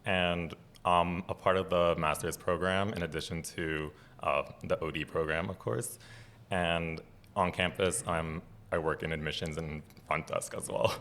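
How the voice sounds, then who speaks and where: Deep-voiced young man from Long Island. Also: steady tone, measured pace, fairly bright tone, slight background noise. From Long Island, New York. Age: young adult. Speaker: optometry student, podcast guest. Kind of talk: casual self-introduction.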